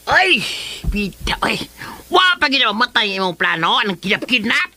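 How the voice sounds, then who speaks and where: Shrill, grumbling villain's sidekick. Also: male voice, big pitch swings, moderate pace, pushed and bright, faint music behind, exaggerated voice. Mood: exasperated. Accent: Filipino. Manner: chiding, grumbling. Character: exasperated sidekick of a villain, mocking and complaining. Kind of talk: Filipino radio drama acting.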